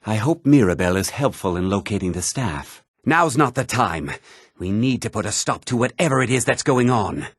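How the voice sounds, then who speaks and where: A man playing a grave older mage in a video game, with a measured, formal, theatrical delivery. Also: fairly low pitch, lively intonation, husky, dry recording, studio quality. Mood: commanding, alarmed. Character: grave older male mage, stern college leader, haunted by guilt. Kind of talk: dramatic video game voice acting.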